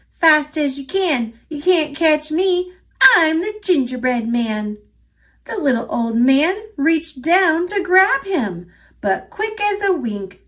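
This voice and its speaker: High, animated American woman reading stories. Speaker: children's storybook reader. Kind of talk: read-aloud storytelling for young children.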